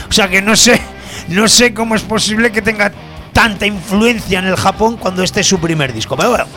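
High-pitched Spanish man hosting rock radio. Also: sharp tone. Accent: Spain. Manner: colloquial, jokey, chatty. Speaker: music radio show host. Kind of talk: casual rock show presenting with banter. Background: guitar music.

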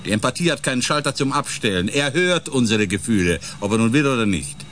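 Male ship psychologist , actor: conciliatory explainer, medium pitch, expressive melody, radio drama. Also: fast talker, lightly acted. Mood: placating, earnest. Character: calm, balancing male ship psychologist and biologist.

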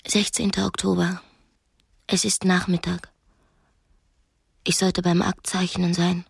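A woman's voice: fairly low pitch, flat delivery, takes it slowly, vocal fry, husky, dry recording, studio quality, heavily acted. An actress playing a young, educated captive woman in a radio drama, anguished and defiant, speaking intimately and often breathily. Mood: brooding, despairing. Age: young adult. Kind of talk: radio drama acting, intimate diary monologue and dialogue.

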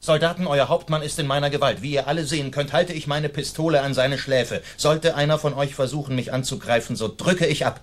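An actor playing a bold, chivalrous masked outlaw in a German radio play, with a courtly, mocking and commanding manner. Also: male voice, on the high side, brisk, few pauses, natural voice.

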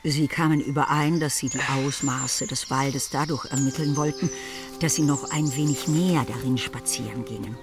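A woman's voice: extremely low pitch, steady tone, normal speed, over music. A woman performing as the narrator of a period novel in a radio play, in scripted literary narration. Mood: composed.

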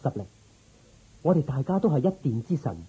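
A man's voice: medium pitch, sing-song, brisk, dark tone, husky, low-quality recording. A man playing a loyal, reverent royal minister in a Cantonese radio drama, formal and deferential in his speech. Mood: confiding.

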